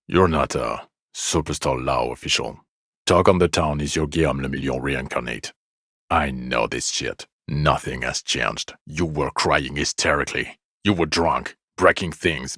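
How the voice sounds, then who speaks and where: A man's voice: rumbling low, fairly bright tone, no room sound, full character voice. A man voicing a bitter, exasperated police detective in a video game, with dry, cutting sarcasm and weary contempt. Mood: bitter, accusing. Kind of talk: dramatic game dialogue.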